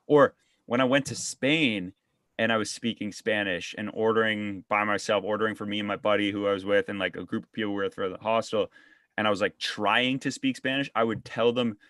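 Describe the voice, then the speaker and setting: A man's voice: on the low side, fairly bright tone, dry recording. Young man host, dry close sound. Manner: rambling, restarts sentences. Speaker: podcast host. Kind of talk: casual conversation.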